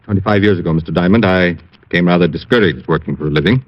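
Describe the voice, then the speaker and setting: Middle-aged male actor as genteel ex-swindler, nervous and formal, very low voice, 1940s radio drama on a dull recording. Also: very fast, dry recording, natural voice. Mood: confiding. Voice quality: clicking new false teeth. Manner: hesitant, formal, genteel and indignant.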